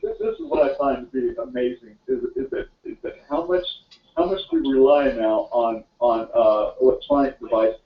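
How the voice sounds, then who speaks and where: A man's voice: mid-range voice, flat delivery, normal speed, recorded from a distance. A man in his fifties chatting on a call-in broadcast, rambling with many repeated words and restarts. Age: 50s. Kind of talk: rambling casual chat. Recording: dull roomy audio from afar.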